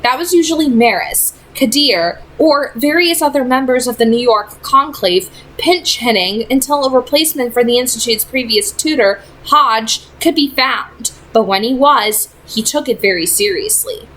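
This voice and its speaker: Higher-voiced woman reading a novel aloud. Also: normal speed, few pauses, a bit bright, low-quality recording. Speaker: audiobook-style reader. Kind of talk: read-aloud fiction narration.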